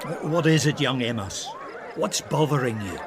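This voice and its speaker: A man's voice: medium pitch, expressive, normal speed, crowd noise, noisy background, close to an everyday voice. An actor playing a snobbish, mocking man at a feast in a radio drama, chuckling as he talks. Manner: sneering, amused, chuckling. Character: mocking older man, snobbish party guest.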